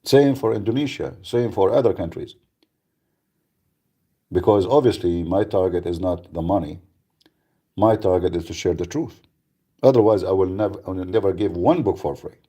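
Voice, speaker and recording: A man's voice: deep voice, normal speed, long pauses, dark tone, slightly rough. A man hosting a live radio talk, speaking bluntly and emphatically, with frequent repeats and restarts. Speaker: radio show host.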